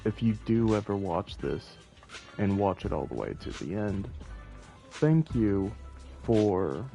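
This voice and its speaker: A man's voice: lowish voice, warm tone. A man chatting casually on a game livestream, rambling and thinking aloud, with game music behind him. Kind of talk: casual livestream chat while playing.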